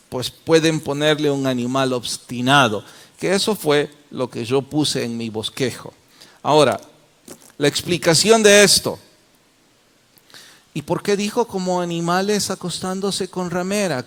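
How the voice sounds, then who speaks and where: A man leading a Bible study as pastor, questioning the class and calling on students by name. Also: on the high side. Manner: slow, deliberate explanations.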